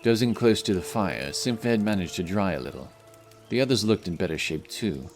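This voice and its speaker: Deep guy narrating a fantasy story, scripted, music playing underneath. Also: lively intonation, fairly quick.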